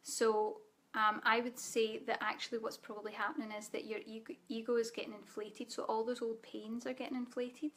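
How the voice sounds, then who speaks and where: A Scottish woman leading a live online spiritual training, speaking softly and calmly, guiding listeners with frequent ums. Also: fairly high pitch, a little fast, few pauses. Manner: soft guided-meditation delivery with fillers. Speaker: spiritual teacher.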